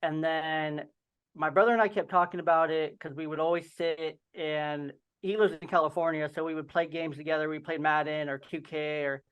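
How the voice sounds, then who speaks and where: A man's voice: fairly high pitch, clean tone. A young man with a slight lisp and an occasional stutter tells his story in a casual, rambling way full of fillers on a video call. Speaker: podcast host and guest. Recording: dull lo-fi video call audio.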